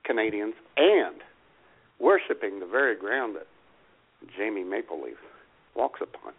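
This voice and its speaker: An American man bantering casually as a talk show co-host, gravelly and wry. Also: on the low side, very animated, unhurried. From United States. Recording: thin phone-like radio audio.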